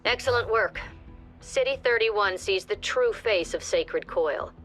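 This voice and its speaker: An actress playing the authoritative director of a tactical squad in a video game, giving measured, firm mission briefings. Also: female voice, mid-range voice, expressive, a bit bright, soft background music. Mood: composed briefing.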